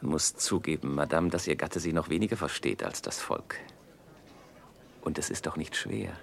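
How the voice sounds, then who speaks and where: Deep-voiced suave aristocrat. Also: male voice, normal speed, gravelly. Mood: gallant, flattering. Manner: formal, polished, gallant. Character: suave, courtly, self-assured aristocratic chevalier. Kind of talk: theatrical German radio drama acting.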